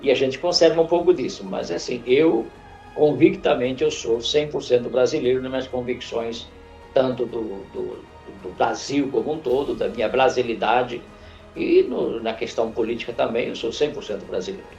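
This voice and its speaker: An older man from northern Portugal, a church elder, recalling his life slowly with restarts in a studio interview. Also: mid-range voice, vocal fry, a little mellow, lo-fi sound, faint music behind. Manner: halting, reflective storyteller with frequent restarts. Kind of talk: unscripted interview. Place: podcast studio.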